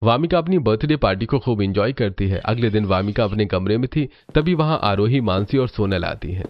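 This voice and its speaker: A man's voice: lowish voice, animated, fairly quick, dry recording. A man narrating a scripted cartoon family story as the storyteller, on a slightly dull recording.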